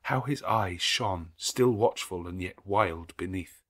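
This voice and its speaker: Male audiobook narrator for classic fiction, fairly deep voice, measured and dramatic delivery. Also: fairly bright tone. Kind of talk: scripted literary narration.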